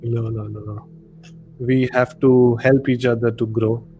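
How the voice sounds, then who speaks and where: A man's voice: mid-range voice, level tone, unhurried, mellow, soft background music. A man with an Indian accent speaking casually as the host of a live podcast discussion. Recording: clearly noisy live-stream audio.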